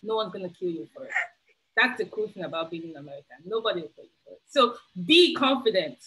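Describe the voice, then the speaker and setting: A woman's voice: fairly low pitch, lively intonation, fast, bright, forceful tone, low-quality recording. A young Nigerian woman with a Nigerian accent, a webinar panelist giving earnest, encouraging advice over a video call.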